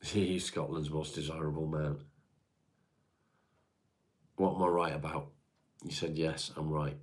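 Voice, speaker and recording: Deep-voiced congested man. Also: little pitch movement, a little slow. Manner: chatty, answers viewer comments one by one, calls people mate. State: congested, stuffy nose. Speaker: livestream host reading chat. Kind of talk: casual livestream chat.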